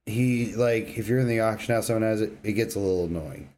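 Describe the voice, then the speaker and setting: A man's voice: on the low side, fast, a little mellow. A man co-hosting a podcast, chatting casually about video games. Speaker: podcast co-host.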